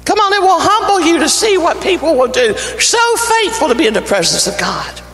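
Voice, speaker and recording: A man with a North Carolina Southern accent, an evangelist praying and preaching a fervent sermon that builds to shouting in a church. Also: very high voice, big pitch swings, brisk. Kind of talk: sermon with opening prayer. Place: church sanctuary. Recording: church hall reverb, faint music.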